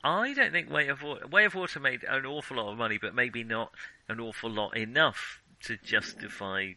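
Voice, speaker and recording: A man's voice: mid-range voice, normal speed, pushed and bright, a little hiss or hum, poor audio. A man co-hosting a film podcast, chatting informally and giving his opinions. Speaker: podcast co-host. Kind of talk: casual chat, giving opinions on films.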